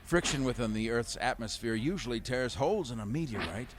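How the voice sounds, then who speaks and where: An actor playing a puzzled scientist in a radio drama, formal and precise as he explains in measured phrases. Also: medium pitch, lively intonation, background noise, natural voice. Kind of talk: old-time radio drama acting.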